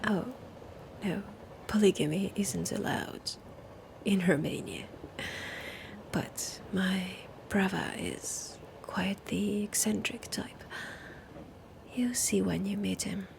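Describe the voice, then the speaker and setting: A woman's voice: medium pitch, fairly even intonation, takes it slowly. A woman as Dracula's whispering sister, French-accented. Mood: sly. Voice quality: whispery, husky. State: sick. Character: centuries-old vampire woman, Dracula's sister, aloof. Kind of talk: whispered ASMR audio roleplay.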